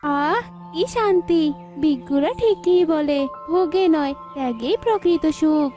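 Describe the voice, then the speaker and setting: Woman as a squeaky brash farmyard bird. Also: a little slow, mellow. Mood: smug relief. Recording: faint music behind the voice.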